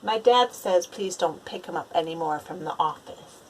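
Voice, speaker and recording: A woman's voice: on the low side, expressive, normal speed, a little hiss or hum, pitched below the performer's own voice, rough recording. A woman voicing a grumbling young boy in a children's storybook, complaining emphatically with words spaced out. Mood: exasperated. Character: grumbling, aggrieved young boy narrating his awful day. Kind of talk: children's storybook read-aloud.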